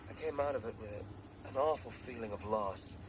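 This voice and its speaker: An actor playing a soul-searching man confiding to his brother in a halting, earnest radio drama monologue. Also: mid-range voice, natural voice. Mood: rapt, awed. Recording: noisy 1980s radio drama tape.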